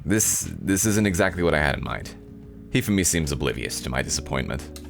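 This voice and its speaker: A man's voice: a bass voice, very animated, brisk, vocal fry, faint music behind, voice pushed down, exaggerated voice. A man voicing a sardonic, disappointed young male protagonist, narrating a visual novel in a dry, incredulous way. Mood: bemused.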